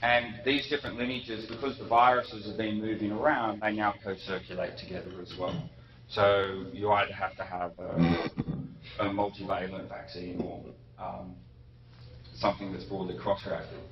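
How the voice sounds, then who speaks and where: A man giving an academic lecture, unhurried, with frequent ums and self-corrections. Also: fairly low pitch, flat delivery, a little hiss or hum. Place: lecture hall. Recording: muffled echoey hall recording from a distance.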